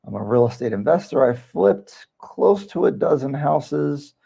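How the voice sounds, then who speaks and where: Gravelly-voiced man chatting on a livestream. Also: a little high, lively intonation, unhurried, mellow. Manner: rambling, conversational, reads viewer comments aloud. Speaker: livestream host, real estate broker. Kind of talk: casual livestream chat.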